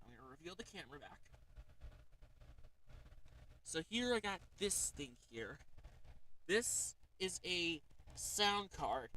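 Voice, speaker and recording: A man's voice: sits high, bright, forceful tone, distant mic, rough recording. A man hosting a casual livestream, rambling with lots of fillers as he shows off a gadget. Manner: rambling, frequent fillers and "alright?" check-ins. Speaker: streamer.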